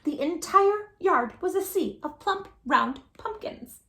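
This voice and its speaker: Woman reading a gravelly-edged children's story. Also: very high voice, slow. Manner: playful, animated, addressing children directly. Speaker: children's storytime reader and host. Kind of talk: expressive picture-book read-aloud with asides to young listeners.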